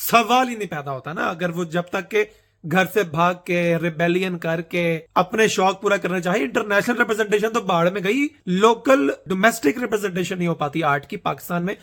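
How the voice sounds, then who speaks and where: A young Pakistani man with a high, light voice and a Pakistani Urdu accent. He talks to camera in a loose, earnest monologue that drifts between Urdu and English, rambling and self-mocking. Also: brisk, fairly bright tone. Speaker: vlogger.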